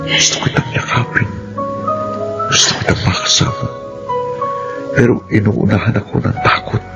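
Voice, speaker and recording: Deep-voiced remorseful Filipino man. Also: fairly even intonation, normal speed, gravelly. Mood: remorseful, pleading. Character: remorseful returning lover and father, pleading earnestly. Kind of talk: Filipino radio drama acting. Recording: dull radio recording, music bed.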